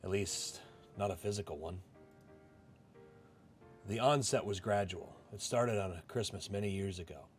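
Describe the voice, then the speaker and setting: A man reads as a disillusioned office clerk in a visual novel. His low voice is subdued and reflective, with music playing underneath. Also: normal speed, pauses often, lightly acted. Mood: somber. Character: disillusioned, introspective male office clerk narrating his life. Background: game music.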